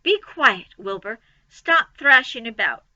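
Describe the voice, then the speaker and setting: A woman voicing a wise, gentle female spider in a storybook reading, low and quiet, steady. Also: slow, no room sound, muffled recording, full character voice. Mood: firm. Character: calm, wise female spider, weak and dying.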